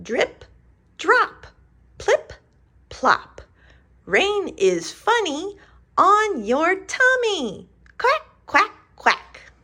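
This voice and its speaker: A woman voicing a cheerful little duckling from a picture book, reading slowly in a sing-song way and squealing high on the quacks. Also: wildly swooping pitch, sharp tone, exaggerated voice. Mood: playful.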